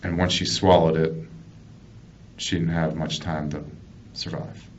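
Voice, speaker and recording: An American man working as a police detective, questioning someone in a measured way with repeated, restarted phrases. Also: a bass voice, level tone. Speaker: police detective conducting an interrogation. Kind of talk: investigative interview. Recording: muffled, noisy police interview room recording.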